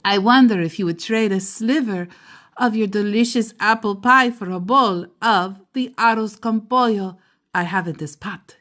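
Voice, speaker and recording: A woman voicing a polite, warm-hearted grandmother in a children's storybook, with a courteous, coaxing manner. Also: on the high side, close to an everyday voice. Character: polite, warm-hearted grandmother neighbour carrying food to share.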